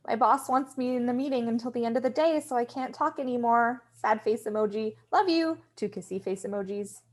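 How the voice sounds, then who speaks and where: A woman's voice: on the high side, a little fast, close to an everyday voice. A woman playing a nervous, sardonic young office worker in a screenplay table read, speaking in a casual, wry way. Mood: fake sweet.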